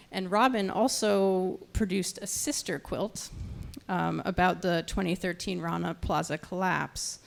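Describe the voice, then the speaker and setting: Female event host, lowish pitch with heavy vocal fry, semi-scripted introductions, occasionally tripping over words. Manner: halting, stumbles over words. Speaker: nonprofit programs director introducing panel speakers.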